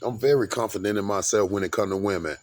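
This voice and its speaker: A man's voice: on the low side, fast talker, dry recording. A man in his forties talking in a rambling, grandiose monologue, often repeating and restarting his words. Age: 40s. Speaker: vlogger.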